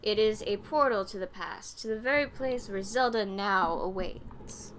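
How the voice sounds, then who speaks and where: A woman reading game dialogue as a solemn old female sage, formal and measured. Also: medium pitch, a bit bright, a little hiss or hum, close to an everyday voice. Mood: solemn, encouraging.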